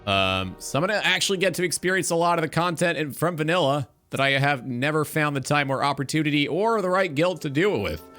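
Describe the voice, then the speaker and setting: A man's voice: on the high side, lively intonation, fast, few pauses, a bit bright. Young man hosting over background music. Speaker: talk show host, game streamer. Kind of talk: casual radio-style livestream talk.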